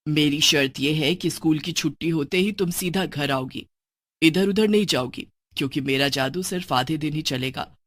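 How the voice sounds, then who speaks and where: A woman voicing a loving witch mother, speaking gently but firmly in a children's cartoon story. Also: low-pitched, normal speed, no echo, voice pushed down. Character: loving, protective witch mother with magic powers.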